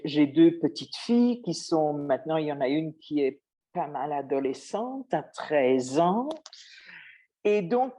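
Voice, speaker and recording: A woman's voice: fairly low pitch, warm tone. An older woman from Belgium with a Belgian French accent, speaking thoughtfully in an interview and often restarting her phrases. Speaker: interviewee, former radio worker. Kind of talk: reflective interview talk.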